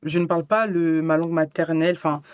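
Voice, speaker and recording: A woman's voice: deep voice, steady tone, fast talker, smooth, no echo. French woman chatting in a very dry recording. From France. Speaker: podcast guest. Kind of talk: casual interview chat.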